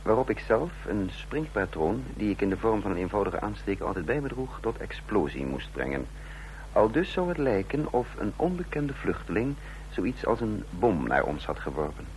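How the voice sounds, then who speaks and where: An actor playing a scheming, self-assured undercover officer who narrates a radio drama in a clipped, deliberate, commanding way. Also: male voice, fairly low pitch, sing-song, darkish tone. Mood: wry, confiding. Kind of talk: Dutch radio drama acting with first-person narration. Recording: noisy radio drama recording with hum.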